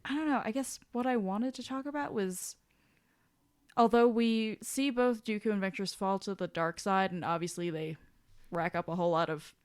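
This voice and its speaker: Thoughtful woman podcaster, mid-pitched voice. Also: moderate pace. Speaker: podcast co-host. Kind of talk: casual discussion.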